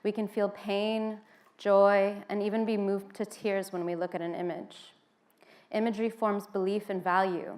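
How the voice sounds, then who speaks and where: A woman's voice: mid-range voice, little pitch movement, moderate pace, a little mellow. An American woman from Washington, D.C., giving an informal seated talk to an audience in a conversational way, with frequent "um" fillers. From United States (Washington, D.C.). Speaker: speaker at a public talk.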